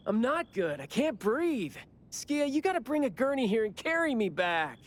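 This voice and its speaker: A woman's voice: fairly high pitch, sing-song, normal speed. A woman playing a cocky, whiny, dramatic young squad captain in an anime video game.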